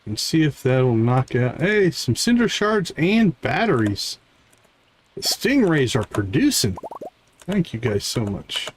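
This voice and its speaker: A man's voice: medium pitch, sing-song, slow, slight background noise. A man giving casual live game commentary, thinking aloud in short fragments. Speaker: game streamer.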